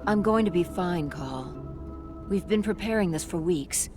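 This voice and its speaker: A woman's voice: lowish voice, measured pace, lightly acted. A woman playing a determined covert operative, an assured and businesslike wife and mother in a game cutscene. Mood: reassuring, determined. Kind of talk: dramatic game cutscene acting. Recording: music behind the dialogue.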